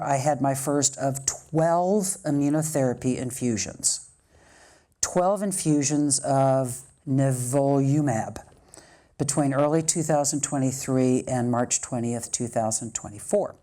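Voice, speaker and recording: A woman's voice: a bass voice, dark tone. A woman in her sixties giving a public speech and reading a friend's letter aloud, with chatty asides between careful reading.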